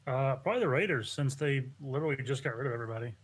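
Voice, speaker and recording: A man with a mid-pitched, everyday voice co-hosts a casual chat. He speaks in short, easygoing remarks. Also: very fast, breathy edge. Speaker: podcast co-host.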